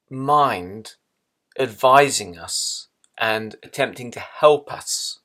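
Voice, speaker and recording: A man leading a guided meditation in a measured way, leaving long pauses between phrases. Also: medium pitch, pushed and bright.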